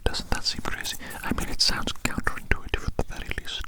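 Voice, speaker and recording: Whispering ASMR roleplay host, breathy and close. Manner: slow, lingering, repeats phrases soothingly. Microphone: very close microphone.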